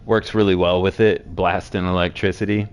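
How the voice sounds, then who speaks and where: A hoarse man with a sore throat casually walking through game builds, rambling with many fillers. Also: sits low, level tone, normal speed. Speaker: game streamer explaining builds.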